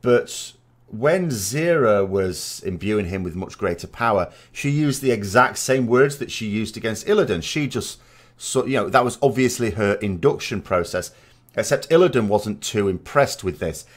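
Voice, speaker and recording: A man's voice: fairly low pitch, animated, moderate pace. A man giving informal, speculative commentary as a gaming vodcast host, reasoning aloud as he goes. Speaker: video game lore commentator and vodcast host.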